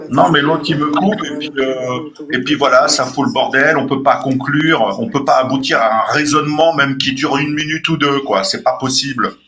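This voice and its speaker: A man's voice: medium pitch, steady tone, moderate pace, pushed and bright. A man from Portugal in a heated live political argument, ranting and combative, repeatedly demanding not to be interrupted. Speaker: live debate participant.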